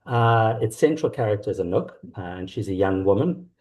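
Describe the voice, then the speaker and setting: A middle-aged South African man talking reflectively and haltingly as an author being interviewed. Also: lowish voice, lively intonation, darkish tone. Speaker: author guest. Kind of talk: conversational interview about own work.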